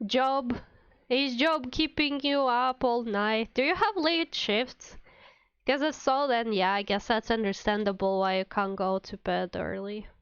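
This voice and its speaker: Woman streamer chatting to viewers, kinda high smooth voice, bit sick, dry slightly muffled mic. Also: measured pace, dry recording. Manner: chatty, addresses viewers by name. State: sick with a cold. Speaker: VTuber streamer. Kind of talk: casual livestream chat while playing a game.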